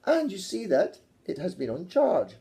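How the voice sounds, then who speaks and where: Scottish male teacher, mellow tone, lively pitch, playful and teasing, casual video lesson. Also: moderate pace, gravelly. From Scotland. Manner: playful, teasing, jokey asides. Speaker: English teacher.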